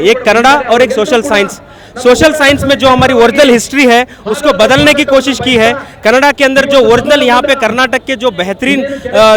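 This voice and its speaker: High-voiced fervent man at a street protest. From Karnataka, India. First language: Kannada. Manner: fervent, accusatory, rally-style delivery. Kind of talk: impassioned protest statement to a reporter. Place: outdoor street protest in a city. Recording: street protest crowd behind.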